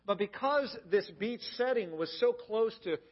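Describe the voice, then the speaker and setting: A man's voice: sits high, lively intonation, measured pace, lo-fi sound. An American man giving an explanatory sermon as a pastor, conversational and plain-spoken. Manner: conversational, plain-spoken, asks the listeners questions. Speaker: pastor preaching to a congregation.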